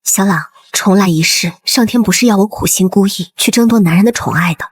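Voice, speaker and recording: A woman playing a composed, resolute young noble lady in a dubbed animated drama, speaking in deliberate, formal phrases. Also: mid-range voice, husky, dry recording, studio quality, natural voice.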